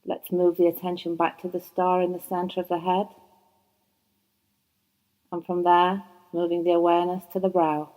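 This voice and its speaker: A woman teaching yoga nidra, guiding a relaxation meditation in a soft, soothing voice, slow and unhurried with long pauses. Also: fairly low pitch, monotone, poor audio. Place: yoga training course.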